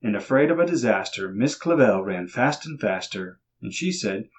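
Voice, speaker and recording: A man reading a children's picture book aloud in an expressive storytelling manner, on a dull lo-fi recording. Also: lowish voice, normal speed, husky. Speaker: reader.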